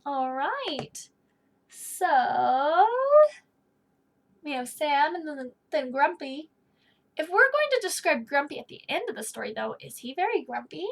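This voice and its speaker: A woman's voice: high voice, very animated, unhurried, gravelly. A woman in her thirties teaching a read-aloud lesson, explaining and putting questions directly to young children. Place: small indoor room with bookshelf.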